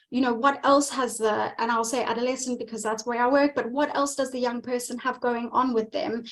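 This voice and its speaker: South African woman, 30s, dietitian presenting over a video call; earnest, repeats words for weight, slightly high voice. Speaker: dietitian giving a webinar. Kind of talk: presentation talk.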